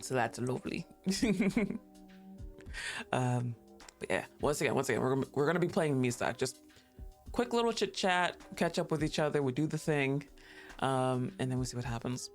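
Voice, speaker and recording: Sleepy streamer just chatting, mid pitch, bit of music in the back, laughs a lot. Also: animated, moderate pace. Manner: laid-back, rambling, laughs often. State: a little tired. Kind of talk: casual livestream chat.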